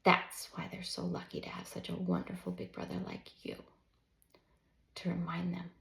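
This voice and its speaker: A tender storybook mother read by a woman explains patiently to little children while sounding a touch overwhelmed. Her pitch moves freely, with creaky edges on her words, in a roomy echo. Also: very animated, moderate pace, a bit bright, full character voice. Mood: encouraging.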